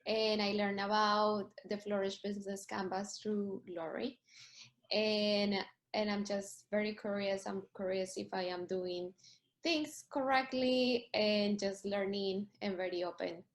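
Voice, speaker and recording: A woman's voice: mid-range voice, steady tone, unhurried. A woman founder introducing herself on a video call in an open, earnest way. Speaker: community founder.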